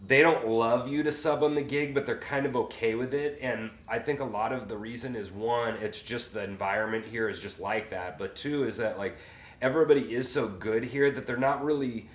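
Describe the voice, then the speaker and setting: A man's voice: medium pitch, normal speed. A man hosting a casual music interview, talking in rambling phrases with lots of fillers. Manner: rambling, frequent fillers and restarts. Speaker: podcast host and fiddle player. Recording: roomy with faint ambience.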